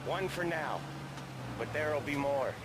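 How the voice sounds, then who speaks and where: A man's voice: fairly high pitch, a little slow, natural voice. A man playing a hot-tempered, commanding gangster in a video game, barking urgent orders. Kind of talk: cinematic video game acting. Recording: loud music and car noise behind.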